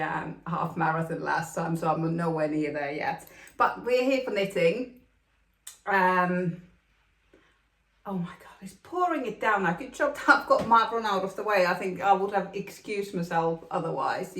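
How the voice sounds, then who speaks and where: A woman's voice: fairly low pitch, a bit bright. A woman from Finland hosting a knitting podcast, talking casually with lots of ums and an upbeat, chatty manner. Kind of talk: casual chat to camera. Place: home room with plastic extension, rain outside. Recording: echoey room.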